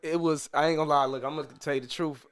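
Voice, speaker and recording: A man's voice: fairly high pitch, steady tone, quick pace, a bit bright, smooth. A man in his thirties, a podcast guest telling his story in a boastful, profane, hyped-up way. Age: 30s. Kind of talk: casual interview chat.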